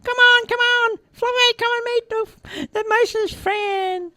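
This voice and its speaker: A woman voicing a small, excitable, friendly mouse who squeaks and repeats words. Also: slow, no echo, full character voice. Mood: excited.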